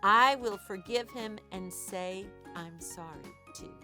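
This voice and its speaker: A woman's voice: takes it slowly, pushed and bright, soft background music. A woman voicing a curious little boy bear cub in a storybook, childlike and questioning. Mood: resolved. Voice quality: childlike, high, exclamatory. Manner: wide-eyed, questioning, exaggerated surprise.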